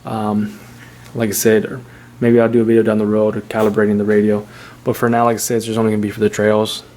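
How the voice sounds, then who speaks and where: A man giving a casual, unscripted how-to in an informal, halting, repetitive way, like a hobbyist vlogger. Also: on the low side, monotone, darkish tone. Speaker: vlogger showing a DIY car install. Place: in and around a Jeep.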